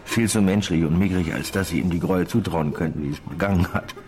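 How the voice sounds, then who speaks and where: A man acting a weary police detective in a radio drama, speaking in a terse, probing or halting, reflective way. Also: sits low, darkish tone, close to an everyday voice. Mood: brooding. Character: weary, brooding male police detective, questioning and musing.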